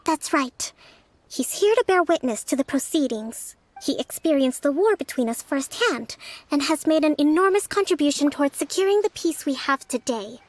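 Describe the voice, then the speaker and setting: A woman's voice: high voice, expressive, moderate pace, dry recording. An actress voicing a composed, diplomatic young female leader in a video game, polite, formal and articulate but firm. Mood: calm, polite.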